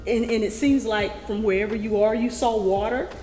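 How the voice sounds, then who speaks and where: A woman, telling her life story in a warm, chatty way. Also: on the high side, steady tone, normal speed. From South Carolina, US. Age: 50s. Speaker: interviewee. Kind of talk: casual conversation. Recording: echoey room with long reverb.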